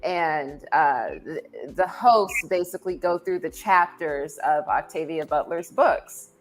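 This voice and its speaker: A woman's voice: low-pitched, measured pace, low-quality recording. A woman speaking as a podcast guest in a reflective discussion, thoughtful and often repeating words as she works out her point.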